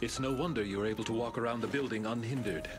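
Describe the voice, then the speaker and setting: A man playing a stern, composed wolf-man butler in a video game, speaking formally and politely with measured command. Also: mid-range voice, close to an everyday voice. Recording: music behind the voice.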